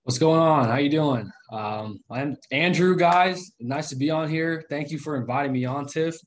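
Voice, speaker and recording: A man's voice: on the high side, normal speed. Earnest young man guest. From Southern California. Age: 20s. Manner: earnest, loose with fillers. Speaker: guest, real estate investor. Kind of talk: casual interview chat.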